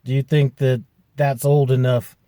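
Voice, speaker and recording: A man's voice: mid-range voice, little pitch movement, normal speed, mellow, dry recording. A man in his fifties, a truck driver, chatting casually to camera in a rambling way with lots of fillers and restarts. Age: 50s. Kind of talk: casual vlog chat.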